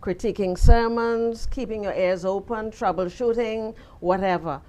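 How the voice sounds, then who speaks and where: A woman's voice: mid-range voice, measured pace, warm tone. An older woman from the Bahamas with a Bahamian accent, a guest in an interview giving firm, preacherly advice. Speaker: interview guest, retired teacher and pastor's wife. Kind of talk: conversational interview.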